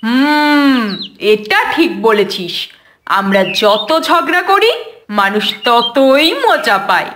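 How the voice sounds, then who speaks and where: A woman's voice: on the high side, big pitch swings, extremely slow, noisy background, exaggerated voice. A woman voicing a boastful, competitive cup of coffee in a comic cartoon quarrel, bragging and teasing with emphatic delivery. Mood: playful, confident. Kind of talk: cartoon audio comedy dialogue.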